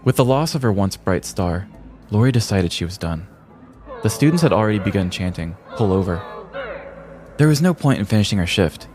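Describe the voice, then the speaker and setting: Very deep-voiced American man narrating. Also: lively intonation, a little fast, darkish tone, faint music behind. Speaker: podcast host telling a story. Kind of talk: scripted comedic narration.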